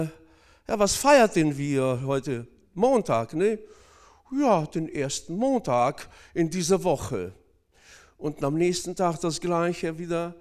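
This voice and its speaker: A man's voice: fairly high pitch, darkish tone. A man from Northern Kazakhstan preaching a sermon, speaking hesitantly with many fillers and addressing the congregation directly.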